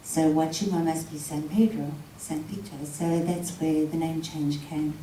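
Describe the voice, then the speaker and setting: A woman's voice: sits low, steady tone, measured pace. Woman from South Africa, guest speaker, hesitant with lots of ums, distant and echoey, faint room noise. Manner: soft-spoken, many ums, laughs often. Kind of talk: informal talk before an audience. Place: hall with a live audience. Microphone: handheld mic.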